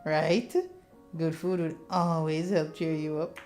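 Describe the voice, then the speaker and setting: A woman's voice: deep voice, flat delivery, slow pace, a little mellow, soft background music. A woman voicing a teasing, caring young man in his twenties, a natural charmer in a visual novel. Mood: coaxing. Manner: teasing, coaxing.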